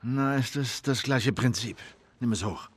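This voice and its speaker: A man voicing a gruff, guarded middle-aged survivor in a dramatic video game, terse and protective. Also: medium pitch, unhurried. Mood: serious, instructing.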